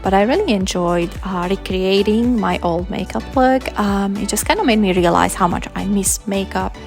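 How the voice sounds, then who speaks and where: A woman's voice: mid-range voice, moderate pace, vocal fry, soft background music. A woman in her thirties from Slovakia, chatting casually while doing her makeup in a vlog. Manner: relaxed, chatty, talks while applying makeup. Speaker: vlogger, stay-at-home mom. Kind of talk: casual makeup tutorial chat.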